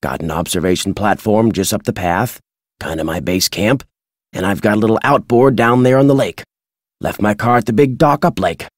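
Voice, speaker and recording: A gruff, folksy birdwatcher from an adventure game, acted by a man. His voice is lowish and husky, recorded close and dry. He talks like a crotchety but deliberate teller of local tales. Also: no echo, close to an everyday voice. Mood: wry, folksy. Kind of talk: video game character dialogue.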